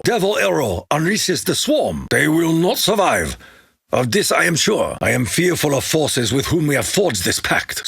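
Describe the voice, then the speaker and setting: An actor playing a solemn, proud Japanese warrior in a video game, with a gruff voice, a Japanese accent and grave, formal, archaic phrasing. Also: male voice, mid-range voice, fairly bright tone, no room sound, studio quality, full character voice. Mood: grave, foreboding. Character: solemn, proud Japanese warrior soldier, honor-bound and menacing. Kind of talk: video game character battle lines.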